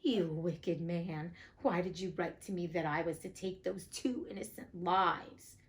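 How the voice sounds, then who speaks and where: A woman's voice: on the low side, measured pace. A woman voicing a king's aged mother in a storybook, stern yet compassionate, with a dramatic delivery. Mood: reproachful.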